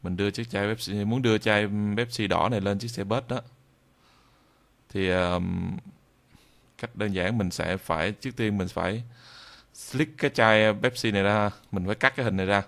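A man narrating an informal software tutorial in a halting way, with frequent drawn-out hesitations. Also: on the low side. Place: indoors at a computer, screen recording voice-over.

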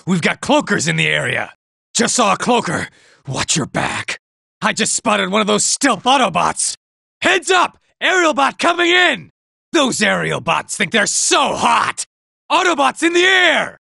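A man's voice: very high-pitched, creaky, bright, forceful tone, dry recording, full character voice. An actor playing a vain, boastful male robot soldier who taunts enemies and admires his own looks, in a video game. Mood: shouting alerts. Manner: smug, self-admiring, taunting. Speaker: game character. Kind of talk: video game combat barks. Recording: dry studio recording.

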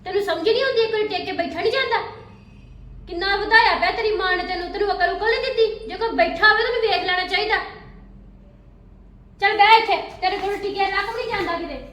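A woman's voice: extremely high pitch, quick pace, fairly bright tone, echoey room, far from the mic. A woman playing a short-tempered young sister-in-law who scolds and retorts sharply in a family drama. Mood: scolding.

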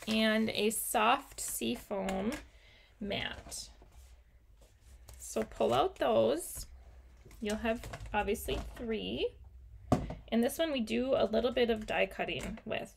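A woman's voice: fairly high pitch, gravelly. A young woman teaching a live card-making class at her craft desk, chatting viewers through each step. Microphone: overhead camera on desk, mic off screen.

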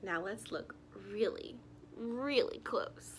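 A young woman reads a picture book aloud for small children. Her voice is fairly high and swings animatedly up and down, while she takes her time over the words. She talks straight to her young listeners in an expressive storytime manner, recorded in a living room. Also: takes it slowly, a little hiss or hum. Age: young adult.

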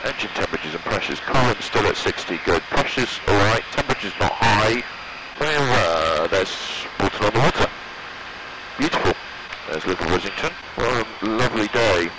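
A man's voice: on the low side, lively intonation, moderate pace. A young British man on a headset, muttering short flight checks to himself as a student pilot. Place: small open-sided microlight cockpit in flight. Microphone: aviation headset. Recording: loud aircraft engine noise, headset audio.

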